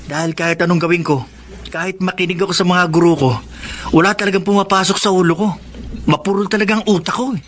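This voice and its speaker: A man's voice: sits high, steady tone, fast, fairly bright tone. A man playing a discouraged, self-deprecating teenage son in a Filipino radio drama. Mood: frustrated, dejected. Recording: faint background noise.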